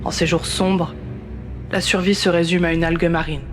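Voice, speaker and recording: A man narrates a science-fiction audio drama over clear music. His voice is on the high side, and he speaks solemnly in clipped, scripted phrases. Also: little pitch movement, normal speed.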